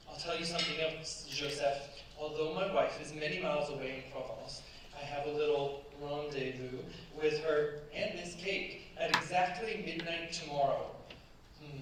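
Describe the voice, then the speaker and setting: A man playing a doting young French gentleman of business in a staged radio play, speaking in a genteel, theatrical way. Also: on the high side, lightly acted. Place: stage at a cultural centre. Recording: echoey stage, distant mic, clear background noise.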